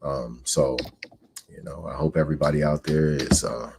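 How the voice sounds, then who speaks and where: A man in his forties from the United States, hosting a show where he reads a book aloud and comments on it with measured, earnest delivery. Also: rumbling low, steady tone, gravelly, very noisy. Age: 40s. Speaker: host.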